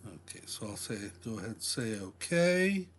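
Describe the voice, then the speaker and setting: A man presenting a step-by-step software demonstration in an unscripted, deliberate way, often pausing mid-sentence. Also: fairly low pitch, very animated, husky.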